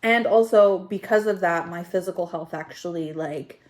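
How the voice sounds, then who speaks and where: A woman's voice: fairly low pitch, moderate pace. A thirty-year-old woman vlogger chatting casually in her home kitchen, slightly congested, rambling with self-deprecating asides. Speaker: YouTube vlogger. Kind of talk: casual rambling chat to camera.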